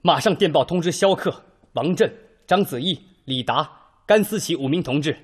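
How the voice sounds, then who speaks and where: A man playing a brisk, purposeful senior Red Army officer in a radio drama, with an emphatic, orderly delivery. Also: on the high side, lively intonation, slightly rough. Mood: commanding. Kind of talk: Chinese radio drama acting.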